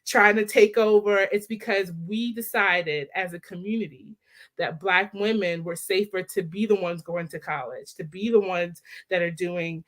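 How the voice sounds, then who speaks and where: A woman's voice: medium pitch, steady tone, moderate pace, fairly bright tone. A woman in her thirties from the Baltimore area, a guest talking animatedly and laughing as she tells her story over a laptop video call. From Baltimore area, Maryland. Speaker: guest, maternal health director. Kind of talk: casual interview chat.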